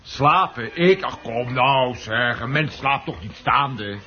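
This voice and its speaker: A man's voice: mid-range voice, takes it slowly, bright, forceful tone. An actor playing a forgetful family man in a comic radio drama, blustering, stammering and repeating himself. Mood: indignant. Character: excitable, forgetful family man reminiscing over holiday photos. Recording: muffled old radio recording with hiss.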